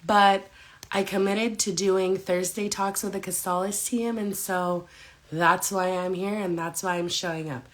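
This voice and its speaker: A woman with a hoarse voice, hosting a casual live stream chat as part of a real estate team. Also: mid-range voice, fairly even intonation, measured pace.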